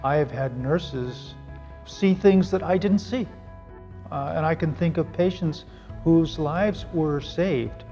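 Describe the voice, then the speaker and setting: Older man with a gravelly, mellow voice. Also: fairly high pitch, slow, lo-fi sound, soft background music. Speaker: emergency physician. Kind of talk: interview testimony.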